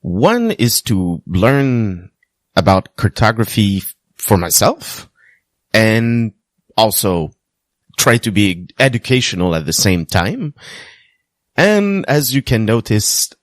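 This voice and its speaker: Slow, lively man. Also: fairly low pitch, dry recording. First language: French. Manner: earnest, self-deprecating, light humor. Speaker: podcast host. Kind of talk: personal storytelling monologue.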